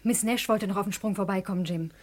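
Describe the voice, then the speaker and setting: An actress playing an anxious, loyal wife who pleads and reproaches, in a German crime radio play. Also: female voice, medium pitch, fast talker, darkish tone, no room sound, natural voice. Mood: pleading. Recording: faintly noisy vintage radio play recording.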